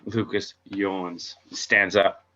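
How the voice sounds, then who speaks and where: A man's voice: low-pitched, little pitch movement, very slow, sharp tone. A man narrating scene headings and stage directions in a scripted table read. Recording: dull lo-fi audio.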